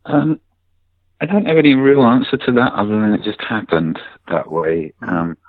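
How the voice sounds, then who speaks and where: A man with a low voice, answering interview questions casually and unhurriedly, with frequent ums. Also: very animated, moderate pace, dry recording. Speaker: musician guest. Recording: dry, tinny phone line.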